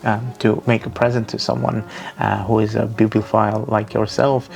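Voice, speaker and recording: Young guy w talking books, gravelly low voice, lots of ums and restarts. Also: little pitch movement, normal speed, a little mellow, faint music behind. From Armenia. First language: Russian. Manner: thoughtful, earnest, with frequent fillers and restarts. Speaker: book vlogger. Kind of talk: casual book recommendations.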